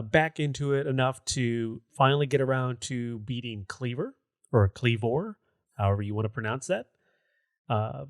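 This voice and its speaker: A man's voice: mid-range voice, no room sound, clean studio sound. A man co-hosting a gaming podcast, chatting casually in a conversational, rambling way. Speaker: podcast co-host.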